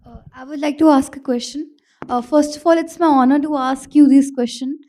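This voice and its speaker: Young woman, high mellow voice, polite hesitant question. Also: steady tone, a little fast. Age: young adult. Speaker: young author asking a question.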